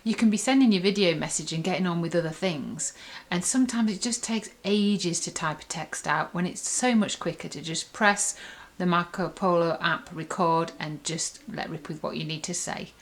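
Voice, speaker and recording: A woman's voice: lowish voice, normal speed. A woman reviewer in a casual, chatty and enthusiastic demonstration, rambling along as she explains. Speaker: app reviewer.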